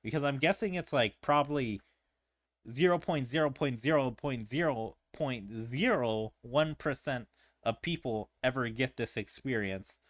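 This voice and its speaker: A man's voice: mid-range voice, unhurried, no echo, clean studio sound. A man giving casual game commentary as a streamer, musing and thinking aloud. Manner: musing, speculative. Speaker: gaming streamer.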